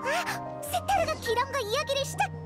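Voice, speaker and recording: Very high, tiny, bubbly spirit girl. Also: lively intonation, moderate pace. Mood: excited. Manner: playful exclamations, informal speech. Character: small childlike female spirit companion, bubbly and excitable. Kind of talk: anime game voice acting. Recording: loud music under the voice.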